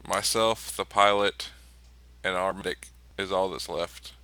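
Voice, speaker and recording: Bright, crisp, low-voiced man. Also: fairly even intonation, a little slow. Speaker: tabletop game player.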